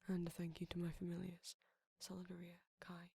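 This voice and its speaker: Casting: woman, low breathy whisper, gentle and measured, flat delivery for ASMR credits. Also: no room sound, clean studio sound. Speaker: audio roleplay creator reading credits. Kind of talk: soft ASMR outro, whispered reading of names.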